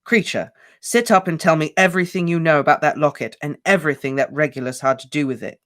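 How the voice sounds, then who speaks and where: Brief: man reading a fierce teenage boy, urgent and commanding, pitched a touch above the narration and louder. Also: fast talker, natural voice. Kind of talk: audiobook character voice.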